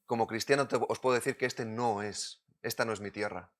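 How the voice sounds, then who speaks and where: A man from Madrid with a Madrid Spanish accent, preaching a sermon, moving between loud urgent points and quiet, close asides. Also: on the low side, steady tone, a little fast. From Spain.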